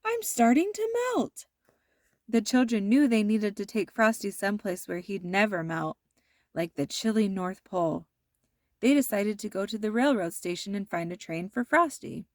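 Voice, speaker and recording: A woman reads a children's story aloud with expressive, deliberate delivery. Her pitch is middling, and the recording is close and free of room echo. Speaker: storybook narrator.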